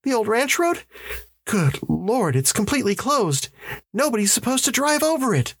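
A man's voice: very high voice, very animated, moderate pace, fairly bright tone, breathy edge, no echo, pitched above the performer's own voice, exaggerated voice. A man voicing a frightened, bewildered wife and mother in a dazed, protesting manner for a story.

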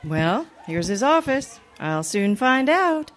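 A woman playing a wry, wisecracking high school teacher with sardonic wit in an old-time radio comedy. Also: very animated, slow pace, background noise. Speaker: actor in a radio play.

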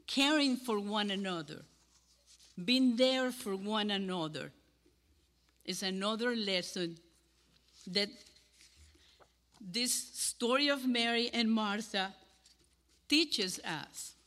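An older woman from Cuba with a Cuban Spanish accent, giving an earnest sermon to a congregation and pausing between phrases. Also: mid-range voice, a bit bright. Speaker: guest speaker. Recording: hall with long reverb.

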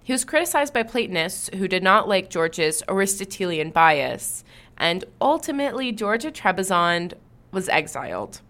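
Lady reading a history podcast script, clear steady storytelling, mid pitch. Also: normal speed. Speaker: podcast host. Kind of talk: scripted narration.

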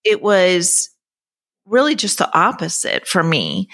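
Bright-voiced woman in her sixties, reflective. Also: on the low side, huge pitch swings, slow. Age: 60s. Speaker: podcast guest. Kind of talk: conversational personal storytelling.

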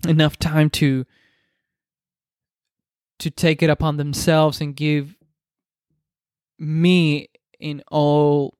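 A man in his twenties whose native language is Spanish talks alone into the mic for his podcast. He speaks slowly, stopping and restarting often, in an earnest, reflective way. His voice is smooth and resonant, and the recording is dry and close. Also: medium pitch, darkish tone, no room sound. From Dominican Republic. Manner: halting, many restarts and fillers. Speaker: podcast host. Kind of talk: solo podcast monologue.

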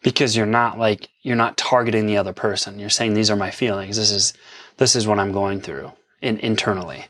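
A man's voice: fairly low pitch, fairly even intonation, brisk, a bit bright, no echo. A man co-hosting a relationship advice talk, speaking in an earnest, casual way and getting emphatic when he quotes arguments. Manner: earnest, self-deprecating, emphatic when quoting. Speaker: podcast co-host. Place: lives in an RV park.

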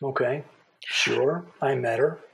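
A man's voice: medium pitch, slow pace, gravelly, fairly bright tone, roomy sound, poor audio. An actor playing a skeptical, flippant, easily flustered man in an audio drama debate, speaking casually and hesitantly. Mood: awkward, evasive. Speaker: debate guest.

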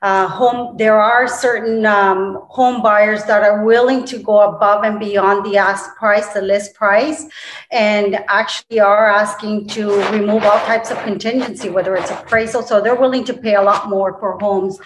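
A woman's voice: medium pitch, steady tone, normal speed, reverberant, noisy background. A middle-aged woman from Colombia, answering interview questions as a guest real estate agent, with many fillers and restarts.

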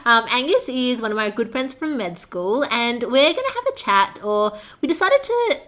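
A woman's voice: on the high side, very animated, moderate pace, fairly bright tone. A young woman with an Australian accent, hosting a podcast in a casual, chatty style. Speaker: podcast host. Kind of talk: casual chat.

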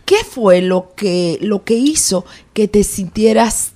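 A woman hosts a radio interview from a studio. She asks probing, playful questions in a mid-pitched voice. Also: takes it slowly, warm tone. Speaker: podcast host.

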